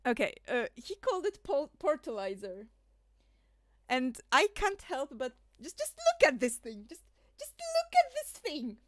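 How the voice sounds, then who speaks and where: A woman's voice: sits high, huge pitch swings, a little slow, a bit bright, no echo. A woman from Poland, rambling and laughing through casual livestream commentary, full of fillers. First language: Polish. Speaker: streamer.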